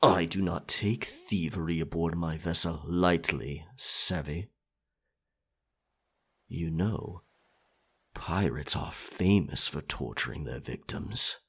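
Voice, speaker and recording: A man's voice: sits low, slow pace, fairly bright tone, breathy edge, heavily acted. A man playing a sadistic pirate captain in an ASMR roleplay, taunting in a menacing, mocking drawl full of pirate slang.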